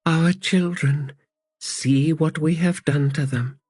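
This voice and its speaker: A man puts on the high voice of a fierce young girl in an audiobook, far above his own register, chanting her lines slowly and with impassioned force. The recording is dry and close. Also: steady tone, a little mellow, breathy edge, no room sound. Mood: fierce, vowing.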